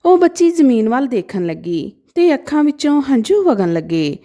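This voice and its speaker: A woman narrating a sad moral story from a script in a gentle, emotive storytelling manner. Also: high-pitched, very animated, normal speed.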